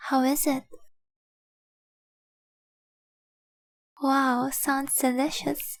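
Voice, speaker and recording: A woman voicing a tender, possessive young dragon girl in love, speaking softly and breathily in an intimate roleplay audio drama. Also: high-pitched, flat delivery, a little slow, no echo, clean studio sound. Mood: reverent, tender. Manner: soft, breathy, lingering, adoring delivery.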